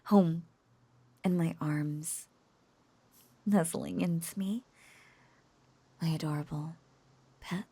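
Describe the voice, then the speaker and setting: A woman playing an obsessive, lovesick young witch who coos over a captive beloved, clingy and breathy with frequent whispers, in an intimate roleplay audio drama. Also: deep voice, fairly even intonation, slow, pauses often, dark tone, full character voice. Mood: wistful, possessive. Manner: cooing, clingy, breathy, often dropping into whispers.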